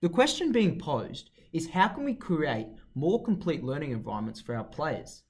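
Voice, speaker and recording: Explainer narrator: man, slightly high pitch, animated melody, formal scripted teaching read. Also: normal speed. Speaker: narrator of an explainer video on football coaching.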